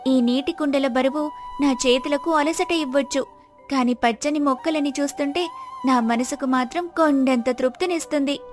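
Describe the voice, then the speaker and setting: A woman voicing a gentle, devoted, hardworking village wife in an earnest, respectful manner for a moral story. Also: high voice, moderate pace, faint music behind, lightly acted. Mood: earnest, firm.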